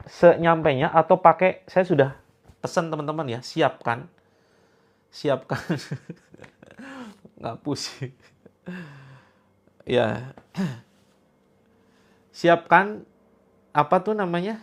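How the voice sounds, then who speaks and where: A man in his sixties leads a gathering as a preacher, his voice pitched slightly higher than most men's. He keeps things light, teasing his listeners and dropping quick jokes between his instructions. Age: 60s. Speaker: preacher leading a healing session. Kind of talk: joking talk to a live gathering.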